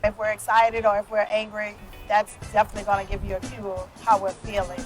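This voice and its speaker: Woman, New Orleans accent, rapid and earnest, documentary clip with music under it. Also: mid-range voice, little pitch movement. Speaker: documentary interviewee.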